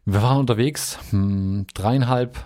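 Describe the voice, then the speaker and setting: A man from the US tells a trip story in a loose, rambling way, filling pauses with hesitations. His voice sits at an ordinary middle pitch, relaxed and conversational. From United States. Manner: rambling, chatty, many fillers. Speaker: podcast guest. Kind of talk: casual travel storytelling.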